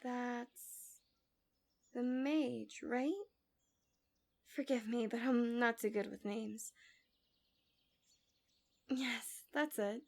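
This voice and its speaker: A woman voicing a gentle, coaxing, subtly manipulative fantasy villain in an intimate ASMR roleplay, soft and breathy, often near a whisper, close to the mic. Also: on the high side, fairly even intonation, drawn-out words, pauses often, heavily acted. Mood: coaxing, softly probing. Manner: soothing, doting, teasing.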